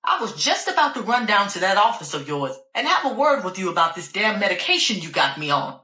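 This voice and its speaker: A woman's voice: medium pitch, big pitch swings, fairly quick, bright, forceful tone, reverberant. A woman voicing a volatile, furious woman who curses in explosive outbursts.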